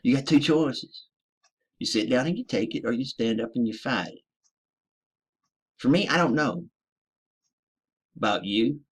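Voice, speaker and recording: A man doing an American military veteran on a blunt, cursing rant, hammering each point home and talking straight to his listeners. His voice sits at an ordinary middle pitch for a man. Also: lively intonation, unhurried, pauses often, husky. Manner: profane, emphatic, addresses listeners as ladies and gentlemen. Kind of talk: ranting monologue.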